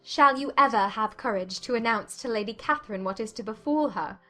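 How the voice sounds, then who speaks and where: Woman doing witty young period heroine, highish playful voice, teasing, audiobook drama w music under. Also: fairly quick, sharp tone. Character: witty, spirited young gentlewoman, teasing and happy.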